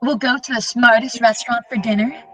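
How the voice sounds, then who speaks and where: A woman's voice: on the high side, steady tone, a little fast, a bit bright, faint music behind, close to an everyday voice, low-quality recording. A woman playing an adoring, gushing sweetheart in a 1940s-style radio comedy.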